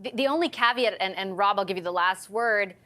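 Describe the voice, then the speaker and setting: A woman with a mid-pitched voice hosts a political discussion. She talks conversationally, in the style of a panel moderator. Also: brisk, sharp tone. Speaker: host.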